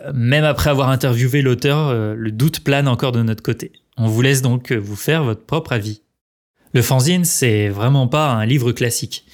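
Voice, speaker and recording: A man co-hosting a podcast, reading a prepared script in a conversational tone. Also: medium pitch. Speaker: podcast co-host. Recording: music playing behind the voice. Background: music.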